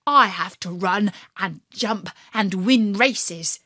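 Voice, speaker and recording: A woman narrating a children's story in first person as a boastful hare, playful and lively. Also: mid-range voice, slow pace, dry recording, studio quality.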